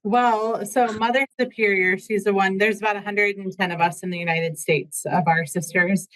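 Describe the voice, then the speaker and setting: A woman, a nun and former teacher, explaining things calmly and plainly in an interview. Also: mid-range voice, moderate pace, rough recording. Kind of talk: casual interview chat.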